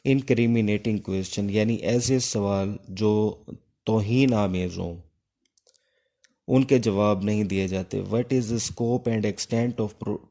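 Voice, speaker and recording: Man, Pakistani accent, unhurried lecturer, dark relaxed tone, instructive, repetitive on key points. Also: on the low side. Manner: instructive, repeats key points, switches between Urdu and English. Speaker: law lecturer. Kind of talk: exam-focused lecture, explaining and dictating.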